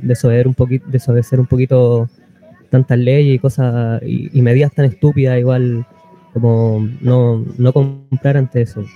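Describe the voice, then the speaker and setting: A man with a Chilean accent talking as a musician guest in a casual interview, halting and colloquial. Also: mid-range voice, level tone. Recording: dull lo-fi sound with music behind.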